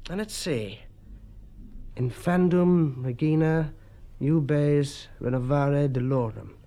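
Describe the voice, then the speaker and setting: Man playing a sly, sardonic British schoolboy, lively tone. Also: fairly high pitch. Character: sly, sardonic schoolboy rascal, clever and mocking. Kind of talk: character voice in a storybook reading.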